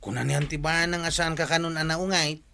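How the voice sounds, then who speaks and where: A man's voice: on the high side, steady tone, brisk, creaky, sharp tone. A man playing a cheeky younger relative wheedling and teasing in an Ilocano radio comedy drama. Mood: inquiring.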